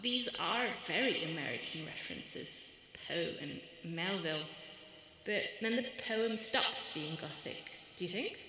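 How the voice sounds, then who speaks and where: Echoey, bright-voiced woman in literary conversation. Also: lowish voice, expressive, unhurried. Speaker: poet in conversation with a translator. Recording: echoey, reverberant hall.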